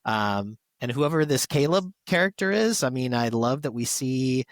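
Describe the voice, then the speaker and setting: A man's voice: medium pitch, expressive, normal speed, no room sound, studio quality. A man co-hosting a podcast, giving enthusiastic, conversational review commentary. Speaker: podcast co-host.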